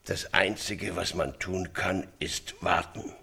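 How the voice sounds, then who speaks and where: A man's voice: low voice, steady tone, takes it slowly, vocal fry, sharp tone, husky, full character voice. An actor playing a bitter male prison inmate with terse, dramatic phrasing in a radio play, over a noisy background. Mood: brooding.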